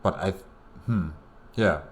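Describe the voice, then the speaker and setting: Guy doing a reaction video, pretty deep voice, kinda unsure, chatting casually as he thinks out loud. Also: unhurried, a little hiss or hum. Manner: hesitant, thinking aloud.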